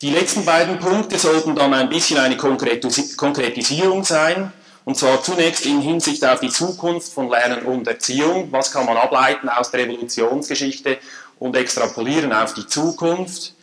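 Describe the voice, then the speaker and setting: A man's voice: mid-range voice, fairly bright tone, low-quality recording. Swiss-accented man giving a lecture. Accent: Swiss German. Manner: measured, dryly humorous, formal phrasing. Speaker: conference speaker. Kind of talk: academic lecture. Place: lecture hall.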